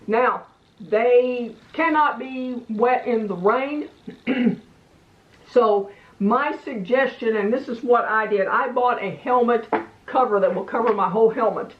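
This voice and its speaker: A woman giving a casual hands-on product review in a plain-spoken, folksy, meandering way. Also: medium pitch. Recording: echoey room, slightly muffled sound.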